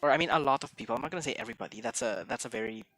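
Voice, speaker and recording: A young man chatting casually on a game stream, quick-talking and full of restarts and little fillers. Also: medium pitch, a bit bright, no echo. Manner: chatty, hesitant restarts, mixes in Tagalog phrases; tends to talk fast. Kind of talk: casual live-stream chat.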